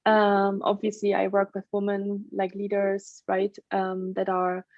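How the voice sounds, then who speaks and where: A woman's voice: medium pitch, completely flat delivery, a little slow. A woman from Germany in her thirties with a German accent, talking conversationally about herself as a podcast guest. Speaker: podcast guest, burnout coach. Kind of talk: conversational interview answers, telling own story. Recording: roomy, slightly dull lo-fi sound.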